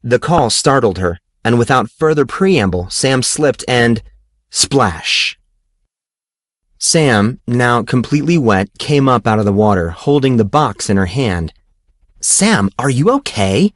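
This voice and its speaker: A man's voice: medium pitch, animated, a little slow, no room sound. A man reading a novel aloud as scripted audiobook narration. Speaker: audiobook reader.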